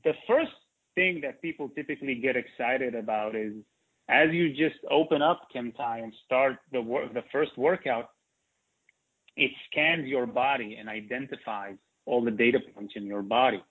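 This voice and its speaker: A man's voice: mid-range voice, moderate pace, breathy edge. Man in his forties, phone-thin. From Israel. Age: 40s. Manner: hesitant, many fillers. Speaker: interview guest, company co-founder. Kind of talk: interview conversation. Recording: tinny phone-like line.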